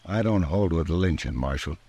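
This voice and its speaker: An actor playing an uneasy, decent frontier cowhand with an American accent and folksy rural speech in a radio western. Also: male voice, low-pitched, lively intonation, moderate pace, mellow, breathy edge, full character voice. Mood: uneasy, reluctant. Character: uneasy, decent frontier cowhand who rescues a lynched man. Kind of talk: old time radio western acting. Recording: noisy vintage radio drama recording.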